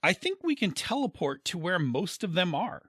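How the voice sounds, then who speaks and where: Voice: medium pitch, very animated, moderate pace, fairly bright tone, breathy edge. An actor playing a snippy, competitive gnome in an amateur comedic fantasy audio drama.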